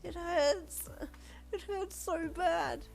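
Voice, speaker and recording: Woman voicing a tearful injured young woman, high, sobbing, pleading. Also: extremely slow, vocal fry, a little mellow, faint music behind, exaggerated voice. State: crying.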